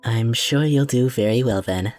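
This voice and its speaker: A calm cookie wizard from a cartoon fan drama, acted by a man, speaks with formal, measured care. He sounds earnest and diplomatic, in a medium-pitched voice over faint music. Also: lightly acted. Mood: calm, firm. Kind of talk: scripted fan audio drama acting.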